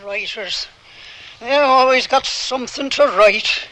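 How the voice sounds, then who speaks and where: An actress playing a tall, bossy but kindly Irish landlady in a radio drama, brisk and garrulous. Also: high-pitched, background noise. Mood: brisk, businesslike.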